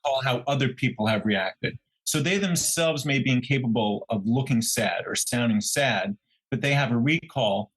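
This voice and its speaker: A man's voice: medium pitch, normal speed, a bit bright, slightly rough, poor audio. Man musing in casual podcast talk. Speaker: podcast co-host. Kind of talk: casual true crime discussion.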